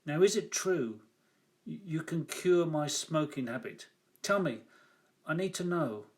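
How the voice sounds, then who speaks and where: A man voicing an excitable, awestruck shopkeeper in a read-aloud story, insistent and pleading, stammering and repeating himself. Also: fairly high pitch, a little slow.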